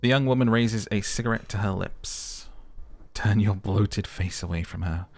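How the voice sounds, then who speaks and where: A man's voice: fairly low pitch, normal speed, darkish tone, no echo. A man hosting a let's play, mixing dramatic narration with casual commentary.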